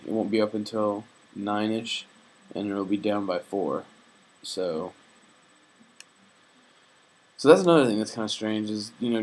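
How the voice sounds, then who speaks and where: A man's voice: fairly low pitch, rough recording. A young man recording a video diary, talking in a hesitant, reflective and earnest way, full of fillers and restarts, homesick. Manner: earnest, faith-minded. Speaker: vlogger. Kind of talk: casual personal video diary.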